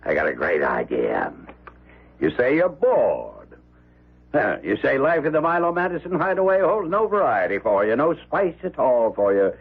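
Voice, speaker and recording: A man's voice: medium pitch, sing-song, moderate pace, slightly rough. An actor playing a wealthy, domineering old man in a radio drama, blustery and wheedling. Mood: hearty huckster. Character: wealthy, overbearing old miser playing showman host. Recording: dull old radio recording.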